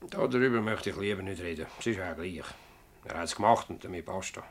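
A man acting an agitated, evasive village mayor in a radio play, hedging and emphatic, with a Swiss German accent. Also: low voice. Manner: hedging, emphatic, halting when pressed. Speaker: actor in a dialect radio play. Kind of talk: dialect radio drama acting.

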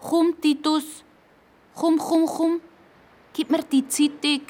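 A woman's voice: high-pitched, flat delivery, slow pace, darkish tone, slight background noise, pitched above the performer's own voice. A woman voicing eager, exclaiming young children, adventurous friends in a children's audio story. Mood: urging.

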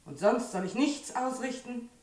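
An actor playing a nosy, grumbling neighbour with a blunt, familiar manner in a 1960s German radio play. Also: male voice, very high-pitched, expressive, normal speed, a little mellow. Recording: echoey old radio recording, slightly distant.

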